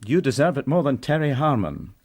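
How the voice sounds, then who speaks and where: British gallery boss, radio drama, urbane, wry, brisk, generous, opinionated, medium pitch, lively intonation. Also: male voice. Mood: wry, persuasive. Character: breezy, opinionated, generous male gallery manager.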